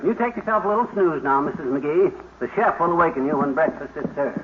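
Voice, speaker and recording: An actor playing a boastful, bumbling middle-aged husband in a 1950s radio sitcom, blustery and prone to mixing up words. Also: male voice, on the high side, animated, fast talker, natural voice. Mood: eager. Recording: tinny hissy 1950s radio broadcast.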